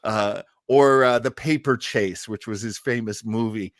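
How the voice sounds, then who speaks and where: A man's voice: on the low side, measured pace, dry recording. A man hosting an online show, talking casually and explaining things as he thinks aloud, on a close microphone. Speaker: host of an online radio-history show. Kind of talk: casual discussion and commentary.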